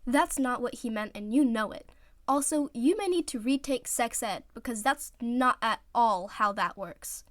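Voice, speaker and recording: A woman's voice: fairly high pitch, lively intonation, normal speed, lightly acted. A woman voicing a grumpy, exasperated teenage boy athlete with a blunt, indignant manner in a story reading. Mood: annoyed.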